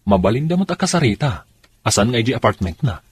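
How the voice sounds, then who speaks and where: A man with a very husky, rough voice playing an easygoing, colloquial driver in a radio drama. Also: mid-range voice, big pitch swings, fast talker, a little hiss or hum, natural voice. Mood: cooperative. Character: easygoing male driver helping friends with a scheme.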